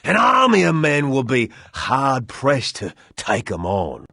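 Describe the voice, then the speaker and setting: Game role: husky tipsy townsman, self-pitying, slow rambling delivery with swooping pitch. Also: wildly swooping pitch, pushed and bright, no echo, clean studio sound, exaggerated voice. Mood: amused, rambling. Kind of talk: video game character acting.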